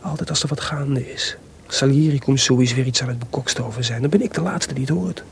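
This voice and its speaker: Guy playing a bitter jealous composer husband, grumbly creaky voice, radio drama, bit of hiss. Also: medium pitch, brisk, natural voice. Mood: indignant grumbling. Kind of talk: Dutch radio play acting.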